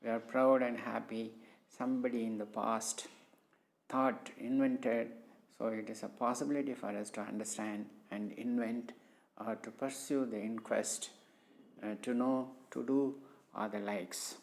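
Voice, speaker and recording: A middle-aged man with an Indian English accent talks through his research in a slow, halting way, full of pauses and fillers. His voice sits at a middle pitch, and he speaks English as a second language after Tamil. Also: steady tone, gravelly, low-quality recording. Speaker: civil engineering professor presenting his research. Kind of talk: slow, hesitant screen-recorded talk.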